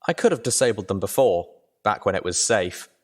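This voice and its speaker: A man's voice: on the low side, animated, brisk, husky. A man reading scripted adventure fiction as the narrator.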